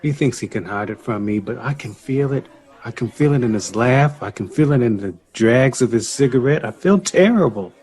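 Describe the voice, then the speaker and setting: A man's voice: mid-range voice, expressive, moderate pace, darkish tone, slightly rough. An actor playing a tender, wistful young man in a 1940s period audio drama. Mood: guilty, sad.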